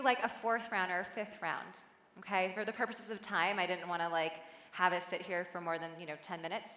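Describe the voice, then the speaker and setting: A woman keynote speaker on a stage, guiding a meditation softly and then talking with the audience in a chatty way. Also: mid-range voice, in a large hall. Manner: soft, slow guiding then lively chatty with laughs. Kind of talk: guided meditation and audience talk. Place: large hall on a stage.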